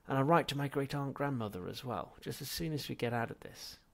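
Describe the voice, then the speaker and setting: A man's voice: mid-range voice, a little fast, gravelly, pitched above the performer's own voice, lightly acted. A man voicing a nervous younger man in a storybook, casual and evasive, stammering and pleading.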